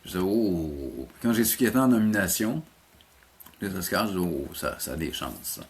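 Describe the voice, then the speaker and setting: A man's voice: low-pitched, lively intonation, moderate pace, husky. A man with a Québécois French accent giving casual interview answers as a teacher, searching for words and often repeating them. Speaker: animation teacher being interviewed.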